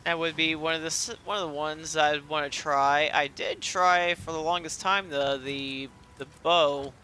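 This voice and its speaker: A man's voice: on the high side, steady tone, normal speed, bright, forceful tone. A man giving casual let's play commentary in a rambling, self-interrupting way, with game music behind him. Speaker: gaming video host. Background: video game music and dialogue.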